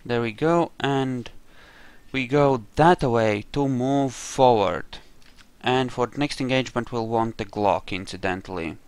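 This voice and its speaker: A man with a clear, smooth voice commentates on a game he is playing. He speaks at an unhurried pace, thinking aloud as he goes. His manner is offhand and peppered with casual swearing. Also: medium pitch, dry recording. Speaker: gamer narrating his own playthrough.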